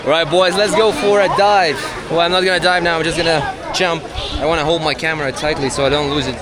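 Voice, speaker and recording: High-voiced man vlogging amid loud crowd. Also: steady tone, quick pace. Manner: informal, swearing, calls people bro. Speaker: travel vlogger, host. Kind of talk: casual excited vlog chat and narration. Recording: loud music and crowd behind the voice.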